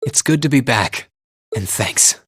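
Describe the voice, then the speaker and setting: A man voicing a gentle, earnest teenage hero in an anime-style game, soft-spoken, polite and sincere. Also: mid-range voice, expressive, measured pace, slightly rough, no echo, clean studio sound, full character voice. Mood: apologetic, grateful.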